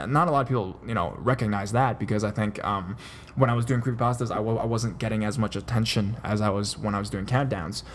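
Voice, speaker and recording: Male podcast host, mid pitch, stammering casual ramble, faint hiss behind. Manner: stammers and restarts often; loose, chatty delivery with many fillers.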